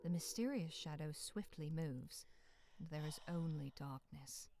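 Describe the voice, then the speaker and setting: A woman narrating a bleak, frozen monster-hunting visual novel as a solemn storyteller, with phrases sinking into hush. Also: low voice, a little slow, a crowd in the background. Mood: ominous, suspenseful. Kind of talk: scripted second-person dramatic narration. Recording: clear noise behind the voice.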